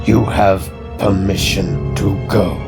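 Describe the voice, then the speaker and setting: A man playing a menacing, suspicious alien warlord in an audio drama, gravelly and sternly commanding. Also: low voice, steady tone, slow, over loud music, full character voice.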